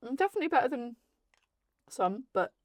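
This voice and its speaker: A woman's voice: sits high, very animated, a little fast, darkish tone, no room sound, clean studio sound. A woman from South Wales chatting casually as an interview guest over a meal, informal, jokey and self-deprecating. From Cardiff area, Wales.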